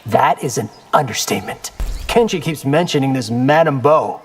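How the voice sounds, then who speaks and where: An actor voicing a confident young warrior in a fighting video game, cocky, wry and determined. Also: male voice, medium pitch, expressive, moderate pace, slightly rough, long reverb tail, faint music behind. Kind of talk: video game character acting.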